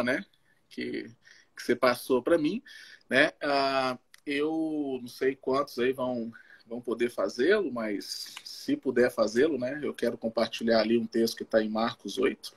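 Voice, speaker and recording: A man with a husky voice, speaking informally as a missionary pastor in a halting way, often checking in with his listener. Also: medium pitch. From Belo Horizonte, Brazil. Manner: halting, frequent tag questions to the listener. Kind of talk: informal testimony and Bible teaching. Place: live social media video call.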